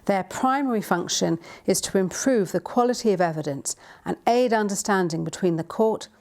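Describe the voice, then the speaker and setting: A woman presenting a training film, delivering scripted information formally and deliberately. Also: mid-range voice, a little mellow. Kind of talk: scripted narration.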